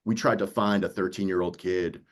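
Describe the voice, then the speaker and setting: A man's voice: deep voice, fairly even intonation, moderate pace. A man from New York, an audiobook producer, chatting casually and telling stories by acting out bits of dialogue. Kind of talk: casual panel conversation.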